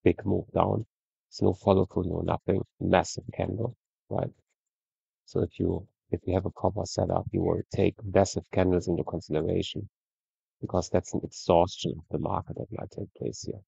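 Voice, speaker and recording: A man giving a relaxed, conversational screen-share review of trading charts, often ending points with "right?". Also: low-pitched, moderate pace, gravelly, breathy edge, no room sound, lo-fi sound. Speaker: trading educator.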